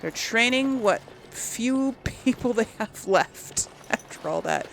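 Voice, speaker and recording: A woman's voice: on the high side, lively intonation, slow, gravelly, fairly bright tone. A woman hosting a casual game playthrough, thinking aloud in a hesitant, musing way. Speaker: gaming video host.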